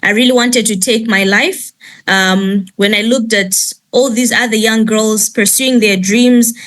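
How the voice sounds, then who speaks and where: A woman's voice: a little high, fairly even intonation, a bit bright. Young woman on a video call, stops and restarts a lot, telling her own story. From Malawi. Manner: halting, many ums and restarts. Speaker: panel guest, nonprofit founder. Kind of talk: personal testimony in an online chat.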